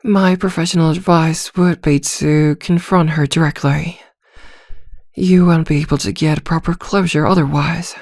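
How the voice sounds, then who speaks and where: Woman playing a calm lady therapist, lowish soft voice, gentle n reassuring, careful pauses, asmr roleplay, really dry close sound. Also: no room sound. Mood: reassuring, advising. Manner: measured, reassuring, hesitant pauses with soft hums. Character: composed female therapist, gentle, attentive and discreetly probing.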